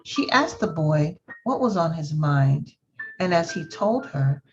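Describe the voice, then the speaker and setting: A woman's voice: low voice, lively intonation, a little slow. A woman storyteller reading a children's picture book aloud, with a slightly dull recording. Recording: dull lo-fi audio with music. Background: faint music.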